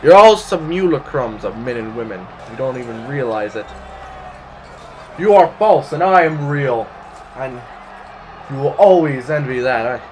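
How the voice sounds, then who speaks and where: A man's voice: medium pitch, lively intonation, measured pace, background noise, lightly acted. A man playing a haughty, paranoid revolutionary politician in a sci-fi audio drama, speaking in formal, pompous oratory. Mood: ranting, unhinged. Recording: roomy, echoing sound.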